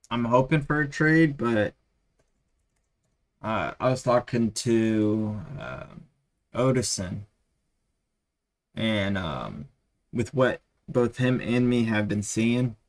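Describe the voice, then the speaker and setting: Tired, hoarse-sounding male streamer. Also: lowish voice, expressive. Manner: rambling, halting, many fillers. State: tired, losing his voice. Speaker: stock trading streamer. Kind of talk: casual live-stream chat.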